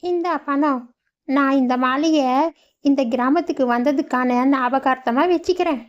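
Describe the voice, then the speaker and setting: A woman's voice: high voice, moderate pace, highs cut off, lightly acted. A woman voicing a fussy young city girl visiting a village in a cartoon. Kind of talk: cartoon voice acting.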